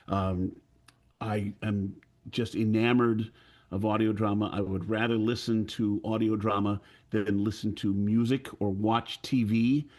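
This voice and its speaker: Male audio producer, somewhat low pitch, deliberate storytelling delivery. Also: darkish tone. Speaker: audio drama producer, panelist. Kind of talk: self-introduction with personal storytelling.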